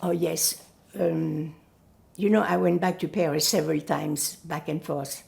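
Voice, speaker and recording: An older woman whose first language is Yiddish, recalling her family in an oral history interview, pausing often to search for words. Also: sits low, steady tone, creaky, darkish tone. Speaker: Holocaust survivor giving testimony.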